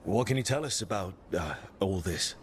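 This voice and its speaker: Fantasy game leader, lowish pitch, firm, earnest, plain-spoken, slight background noise. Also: male voice, animated, moderate pace.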